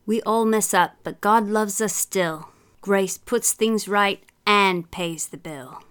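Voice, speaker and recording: A woman voicing a kindly, forgiving father in a storybook, speaking in a gentle, reassuring manner. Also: mid-range voice, slow, clear, resonant tone. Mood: gently teaching.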